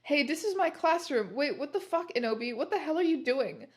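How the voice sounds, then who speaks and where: A woman's voice: high voice, animated, brisk, voice pushed up. A woman voicing a distraught, sweary goth woman teacher in a fan fiction reading.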